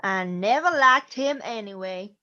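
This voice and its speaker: A woman's voice: medium pitch, animated, unhurried, sharp tone. Woman playing a feisty ghost grandmother, dull recording. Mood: nosy, scornful. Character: feisty ghost grandmother, vengeful, nosy, haunting her old house. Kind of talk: amateur radio drama acting.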